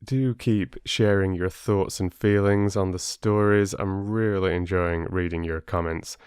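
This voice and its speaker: A man reading aloud as an audiobook host, with an expressive, measured storytelling manner. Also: low-pitched, smooth, dry recording, studio quality. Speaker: audiobook reader and channel host. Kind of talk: children's story narration.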